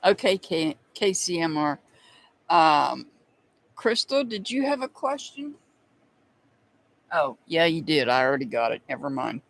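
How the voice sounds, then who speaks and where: A man's voice: fairly high pitch, big pitch swings, measured pace, vocal fry, long pauses, a bit bright, low-quality recording. A man from the United States with a slight Southern accent, hosting a live tarot stream in a chatty way, laughing often and answering viewers by name. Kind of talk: casual live-stream chat.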